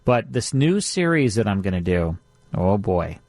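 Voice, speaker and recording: A man's voice: on the low side, sing-song, measured pace, darkish tone, no room sound. An American man hosting a radio show in casual banter, with an enthusiastic, teasing manner. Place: radio studio.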